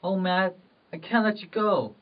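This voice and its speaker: A man playing an anguished, impulsive rural husband in love, fervent and halting, in an amateur drama. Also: high-pitched. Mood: troubled longing. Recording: dull, distant microphone.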